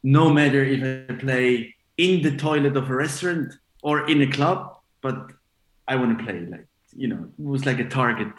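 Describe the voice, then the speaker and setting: A man of forty, raised in Switzerland, talking in a relaxed, rambling way as a DJ guest, with many fillers and restarts. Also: medium pitch, normal speed, echoey room, low-quality recording. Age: 40s. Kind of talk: casual interview chat.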